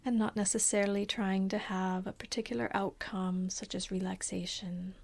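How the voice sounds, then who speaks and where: Soft, unhurried woman guiding meditation. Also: medium pitch, creaky. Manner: calm, soothing, unhurried with long pauses. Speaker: meditation teacher. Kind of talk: guided body scan meditation.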